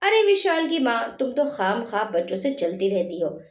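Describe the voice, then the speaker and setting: A woman's voice: a little high, very animated, moderate pace, roomy sound. A woman voicing a doting but firm father, a village headman, in a told story. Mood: indulgent.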